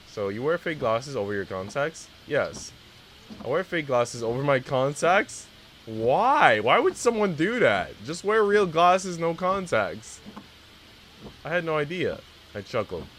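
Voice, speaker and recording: A man's voice: medium pitch, a bit bright, slight background noise. A man live-streaming, reading a visual novel aloud and chatting casually with viewers in a slangy, teasing way.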